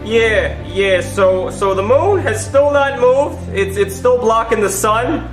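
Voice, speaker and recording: A nervous official at a comedy press conference, played by a man, high in pitch and earnest. He halts and stammers through his statement in an echoey room, with faint music behind. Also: animated, slow. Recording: roomy echo with faint music.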